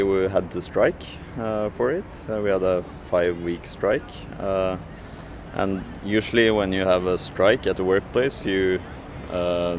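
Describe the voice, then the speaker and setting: A young man being interviewed outdoors on a city square, answering casually and hesitantly with many fillers. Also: sits low. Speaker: interviewee, bicycle courier and union organizer. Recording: outdoor street noise. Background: street noise.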